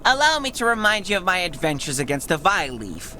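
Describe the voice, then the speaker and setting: Boastful little male cartoon know-it-all, pompous, sharp bright tone, animated. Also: lowish voice, brisk, slight background noise, rough recording. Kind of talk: cartoon video game cutscene acting.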